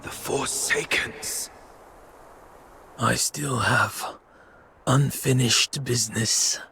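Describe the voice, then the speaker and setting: Brooding, slow-spoken young fighter. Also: male voice, medium pitch, steady tone, creaky, pushed and bright, a little hiss or hum, full character voice. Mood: guarded, grim. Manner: halting, grave, short phrases. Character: brooding young male fantasy fighter, tormented and guarded. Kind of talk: dramatic video game voice acting.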